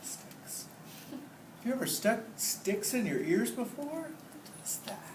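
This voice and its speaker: A man's voice: very high voice, sing-song, takes it slowly. A man teaching a class, reading a story aloud expressively and putting questions to the children. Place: indoor classroom. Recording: roomy, noisy classroom, distant mic.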